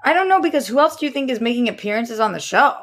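A young woman co-hosting a casual pop-culture podcast, speaking in a measured, thoughtful way, full of "like" and "um". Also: a little high, animated, fairly bright tone. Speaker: podcast co-host.

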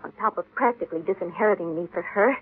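A woman's voice: fairly low pitch, fast, breathy edge. An actress playing a bitter, determined young woman of twenty in old-time radio drama. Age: young adult. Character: bitter, suspicious, determined twenty-year-old heiress daughter. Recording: tinny narrow-band vintage radio recording.

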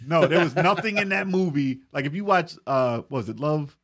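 A man's voice: a little high, normal speed, fairly bright tone. A man chatting casually as a podcast panelist, opinionated and bantering with the group. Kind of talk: casual group chat about movies.

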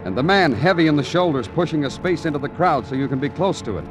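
A man's voice: on the high side, expressive, fast, close to an everyday voice. Man acting a brooding noir detective, dramatic swings. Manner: brooding, florid, dramatic phrasing. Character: world-weary, poetic big-city police detective narrating his case. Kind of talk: 1940s-50s radio noir acting and narration. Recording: vintage radio recording with music.

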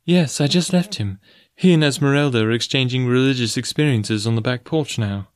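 A man's voice: medium pitch, fairly quick, dry recording, studio quality, close to an everyday voice. A man voicing a polite, firm, proud young woman in an audiobook story. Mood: polite, brisk. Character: proud, composed young woman, professor's daughter.

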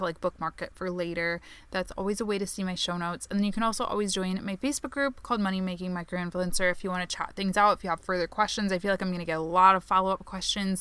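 Podcast host, woman, chatty and upbeat, voice tired, smooth tone, dry close mic. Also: lowish voice, a bit bright, dry recording. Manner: chatty, filler-heavy, upbeat. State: tired, strained voice. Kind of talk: solo podcast monologue on advertising.

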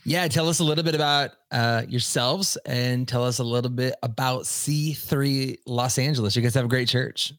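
A man hosting a podcast interview in an energetic, enthusiastic way. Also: medium pitch, moderate pace, hardly any pauses, no room sound, studio quality. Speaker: podcast host.

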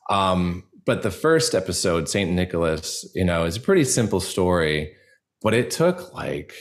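A man speaking reflectively as a podcast guest, earnest and halting, with a self-deprecating way of talking. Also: low-pitched, sing-song. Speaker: podcast guest, audio drama creator. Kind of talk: reflective interview conversation.

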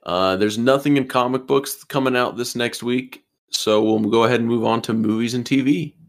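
A man's voice: mid-range voice, normal speed. A man in his twenties chatting on a podcast as co-host, eager and often repeating his first words. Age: 20s. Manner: enthusiastic, repeats words at starts of sentences. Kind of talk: casual chat.

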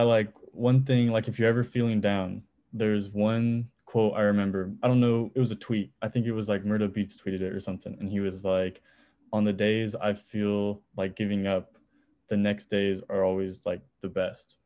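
Mellow, flat-voiced young man. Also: lowish voice, normal speed. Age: young adult. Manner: relaxed, rambling, many "it's like" fillers. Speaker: podcast guest, music producer. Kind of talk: casual interview chat.

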